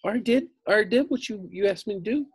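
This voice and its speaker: A man's voice: sits high, rapid-fire, breathy edge, pitched above the performer's own voice. A man voicing an indignant, exasperated young girl in a storybook, incredulous and emphatic.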